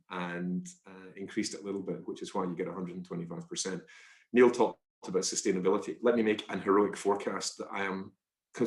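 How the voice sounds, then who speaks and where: A man's voice: sits low, echoey room. A man with a congested, stuffy nose, presenting to investors over a video call in a chatty, self-deprecating way, with many ums and restarts. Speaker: fund manager presenting a webinar.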